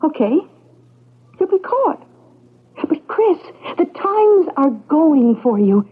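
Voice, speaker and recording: A coaxing young wife from an old radio thriller. Her high voice swings expressively as she schemes and presses her case. The muffled vintage recording has a narrow, tinny sound. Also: very animated, slow, exaggerated voice. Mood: coaxing, calculating. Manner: insistent, theatrical, persuasive. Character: scheming, coaxing pregnant young wife, quick-witted and persuasive. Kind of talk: vintage radio drama acting.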